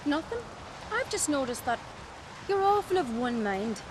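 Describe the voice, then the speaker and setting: A somewhat high female voice for a frank, self-assured island woman in a fantasy game. Her delivery is measured and direct, with clear ambient noise behind it. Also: sing-song. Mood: teasing. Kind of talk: video game voice acting.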